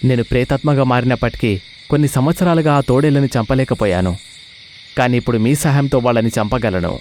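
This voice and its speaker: A man voicing the vengeful, scheming ghost of a lion king in an animated story, speaking in a solemn, commanding way. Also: medium pitch, quick pace, slight background noise, natural voice. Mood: vengeful, resolute.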